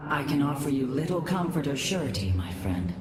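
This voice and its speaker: A woman's voice: a bass voice, big pitch swings, brisk, over a noisy crowd. A woman voicing a grave, compassionate alien matriarch in a video game, solemn and formal. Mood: sorrowful. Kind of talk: sci-fi video game cutscene acting. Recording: echoing, with loud background noise.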